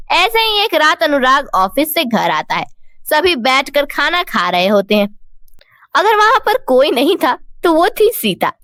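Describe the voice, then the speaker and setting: A woman's voice: high voice, fairly bright tone, no echo. A woman reading scripted narration for a moral story as its narrator.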